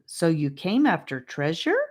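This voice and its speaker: A woman's voice: low voice, sing-song, a little slow, full character voice. A woman voicing a guarded, suspicious young cave-dwelling girl with a questioning, wary manner in an audiobook. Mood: serious.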